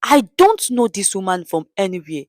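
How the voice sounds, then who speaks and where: A woman's voice: medium pitch, very animated, moderate pace, darkish tone, no room sound, studio quality, lightly acted. A woman voicing a falsely accused, bewildered man in a story, protesting his innocence.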